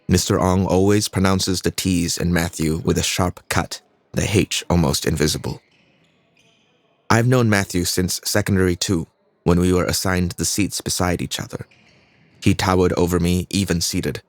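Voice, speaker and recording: Man as low-voiced, introspective young Singaporean fighter. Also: steady tone, moderate pace, no room sound, natural voice. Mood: reflective. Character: earnest, introspective young male MMA fighter telling his story. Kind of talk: scripted first-person audio drama narration.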